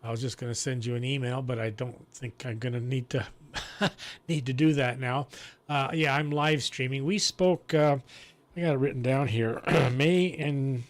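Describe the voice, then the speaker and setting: A man chatting casually as an amateur radio operator, talking in a halting, chatty way with throat clearing. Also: mid-range voice, fairly even intonation. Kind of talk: casual ham radio chat.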